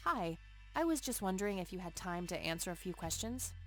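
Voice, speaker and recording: A blunt, sardonic young detective. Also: female voice, lowish voice, sing-song, a little fast. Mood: dry. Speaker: detective heroine of an adventure game. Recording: game audio with music behind.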